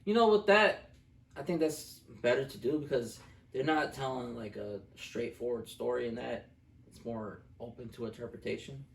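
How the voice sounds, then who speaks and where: A man's voice: medium pitch, moderate pace, gravelly, distant mic, a little hiss or hum. A young man co-hosting a casual review chat, talking in a blunt, slangy way. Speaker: film review co-host. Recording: echoey room, off the mic.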